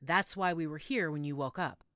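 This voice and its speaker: A woman playing a middle-aged motherly healer in an audio roleplay, gentle, reassuring and coaxing. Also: low-pitched, normal speed, clear, resonant tone, no room sound, studio quality. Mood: gentle, serious. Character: calm motherly werewolf-den healer named Judith, tending a patient.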